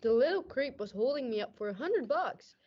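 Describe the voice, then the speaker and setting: A woman's voice: high voice, expressive, a little fast. A woman playing a weary, hard-boiled male police detective, narrating in tough, slangy radio noir style. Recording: muffled, noisy amateur stage recording.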